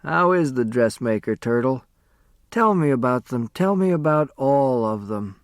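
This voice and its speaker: A man's voice: mid-range voice, lively intonation, a little slow, no echo, clean studio sound. A man voicing a frail, dying man in his eighties, weak-voiced, wistful and teasing, in an audiobook story. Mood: wistful, curious. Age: 80s. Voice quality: weak, faint.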